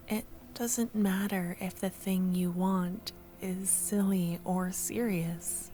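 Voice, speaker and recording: A woman playing a shy, excitable, tender young friend in love in a soft-spoken ASMR audio roleplay, breathy and often near a whisper. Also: mid-range voice, a little hiss or hum, natural voice. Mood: soothing, hushed.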